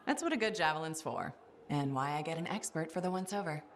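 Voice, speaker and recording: A woman's voice: low voice, expressive, fast talker, slight background noise. A woman voicing an easygoing, cavalier freelance pilot hero in a video game. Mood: breezy banter. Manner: breezy, cavalier. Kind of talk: video game cutscene acting.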